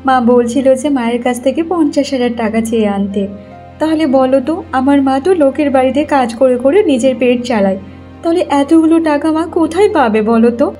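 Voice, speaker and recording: Casting: woman as timid, pleading young daughter-in-law for a cartoon drama, high-pitched and submissive. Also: fast talker, mellow, faint music behind, close to an everyday voice. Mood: gently worried. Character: meek, pleading young bride from poor family. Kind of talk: cartoon moral story acting.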